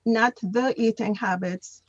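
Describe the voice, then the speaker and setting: A woman's voice: fairly high pitch, fairly even intonation, takes it slowly, dry recording, lo-fi sound. A woman working as an English teacher, tutoring in a patient, conversational way and repeating phrases to explain.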